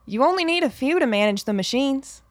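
A woman's voice: high voice, lively intonation, fairly quick, no room sound. An actress playing a tough, weary woman in hiding in an audio drama, speaking bluntly and matter-of-factly.

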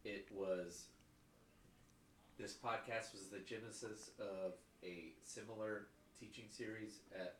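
A man's voice: lowish voice, steady tone, slow, roomy sound, slight background noise. A man with a cold and a stuffy nose co-hosting a casual podcast, wry and joking. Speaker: podcast co-host. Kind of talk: casual chat.

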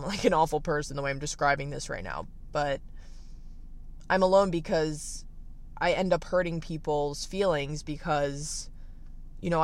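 Raspy, gravelly teenage guy talking candidly. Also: fairly high pitch, fairly bright tone. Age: late teens. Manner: candid, thoughtful, many fillers and restarts. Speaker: livestreamer. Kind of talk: reflective casual livestream monologue. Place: at the beach.

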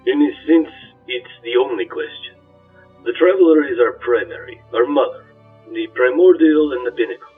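A man's voice: medium pitch, moderate pace, breathy edge. Man playing a pious, self-important robot spirit, radio-thin. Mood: reverent. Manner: solemn, lofty, preachy. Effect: radio-like filter. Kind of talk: audio drama dialogue. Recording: muffled radio-like sound, distant, music.

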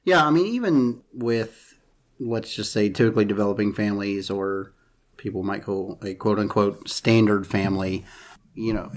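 A man in his thirties, a podcast guest, speaking thoughtfully and haltingly. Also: fairly low pitch. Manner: thoughtful, halting with fillers and restarts. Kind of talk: conversational podcast talk.

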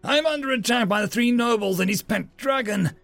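A man's voice: extremely high pitch, a little slow, vocal fry, no echo, soft background music, pitched above the performer's own voice, heavily acted. A man voicing an embattled, defiant male hero in a fantasy audiobook, urgent and exclamatory.

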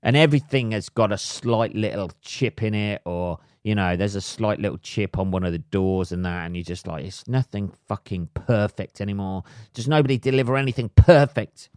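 A man, a little hoarse, ranting comically and swearing constantly in a rambling solo podcast monologue. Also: fairly low pitch, normal speed, few pauses, darkish tone, no room sound, clean studio sound. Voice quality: heavy swearing, rambling with many fillers. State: slightly hoarse. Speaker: podcast host. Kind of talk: solo comedic rant.

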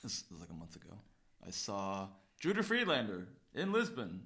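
Male podcast co-host, slightly deep voice, excitable and slangy, casual anecdote style with lots of repetition. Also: moderate pace. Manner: excitable, slangy, repeats himself. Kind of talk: casual chat, animated storytelling.

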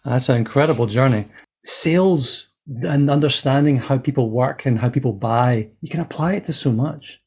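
Man, podcast host, husky voice, medium pitch, friendly interviewer. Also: moderate pace, a little mellow.

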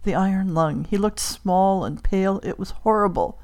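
Lady doing a grieving daughter in an audiobook, earnest, halting, really emotional. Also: medium pitch, darkish tone, close to an everyday voice. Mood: sad, halting. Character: grieving, earnest daughter seeking her dead father. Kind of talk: audiobook character acting.

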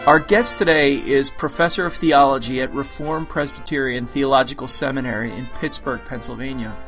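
A man hosting a short interview podcast, reading the intro and asking clear, organized questions. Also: mid-range voice, steady tone, brisk, a bit bright, soft background music.

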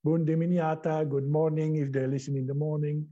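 A man speaks English in a playful, joking way as a guest. His voice is husky and very dark, and it sits slightly high for a man. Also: level tone, brisk, dull audio. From Belgium. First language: Dutch. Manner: playful, jokey. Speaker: guest therapist. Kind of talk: casual interview chat.

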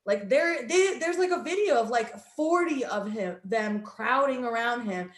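A woman chatting casually in a group, retelling stories in an animated, sweary way. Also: fairly high pitch, measured pace, roomy sound.

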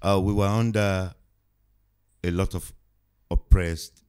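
A man in his sixties from Nigeria speaks in a very low, deep voice. He talks slowly and reflectively, pausing often with fillers as he answers interview questions. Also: steady tone, a little mellow, smooth. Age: 60s. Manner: slow, hesitant, reflective with many fillers. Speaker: guest musician. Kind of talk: casual podcast interview.

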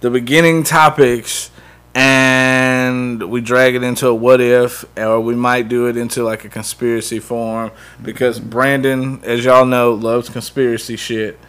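A man's voice: medium pitch, level tone, unhurried, a bit bright, smooth. A man co-hosting a casual podcast, laid-back and laughing often as he talks through plans. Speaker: podcast co-host. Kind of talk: casual banter.

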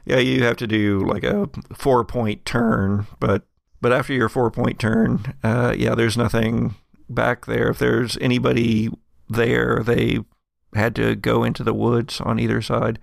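A man with a creaky, gravelly voice narrating a tabletop horror game as game master, in a halting, deliberate way. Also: medium pitch, level tone, dry recording, studio quality.

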